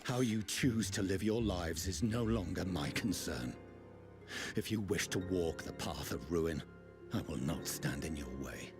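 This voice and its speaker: A man's voice: low-pitched, measured pace. An actor playing a stern, cold scholarly father in a video game, with grave, formal delivery. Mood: cold dismissal. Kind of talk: dramatic video game voice acting. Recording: music behind the voice.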